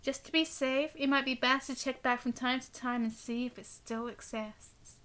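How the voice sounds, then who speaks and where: A woman game streamer giving live commentary and reading game text aloud in a chatty, expressive way. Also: high voice, normal speed. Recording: game music behind the voice. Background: game music.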